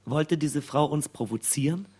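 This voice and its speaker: Husky man, an indignant worker. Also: on the high side, moderate pace, darkish tone. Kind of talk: literary radio play, choral narration.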